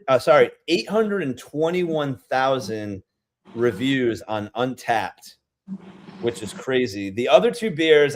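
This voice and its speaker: A man's voice: mid-range voice, darkish tone, a little hiss or hum. A man with a Rhode Island accent, chatting casually on a livestream as a beer-review vlogger, rambling a little as he talks. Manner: chatty, rambling, reads out viewer comments.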